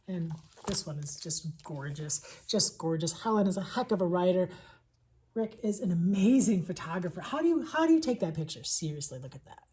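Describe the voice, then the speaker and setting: Husky, enthusiastic woman recommending books. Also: fairly low pitch, sing-song, a little fast, warm tone. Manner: enthusiastic, emphatic, conversational, repeats words for emphasis. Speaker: elementary school teacher presenting picture books. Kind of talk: casual vlog book recommendations.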